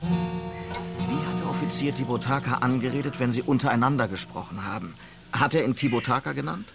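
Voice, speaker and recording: A man's voice: mid-range voice, fairly quick, vocal fry, loud music behind the voice, natural voice. An actor playing a bold, inquisitive lawman in an Old West radio drama, persistent in his questioning. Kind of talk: western radio drama acting.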